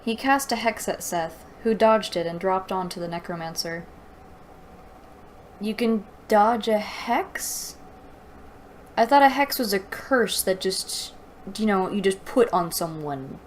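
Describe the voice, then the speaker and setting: A woman reads from a book and picks it apart as a reviewer. Her pace is unhurried and her tone is sarcastic and exasperated. A faint noise sits behind her voice in what sounds like a home recording. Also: mid-range voice. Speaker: host of a book review show. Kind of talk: mocking read-aloud book review with commentary.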